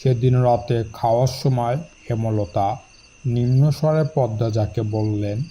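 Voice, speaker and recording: Slow, mellow male story narrator. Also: mid-range voice, steady tone, slight background noise, rough recording.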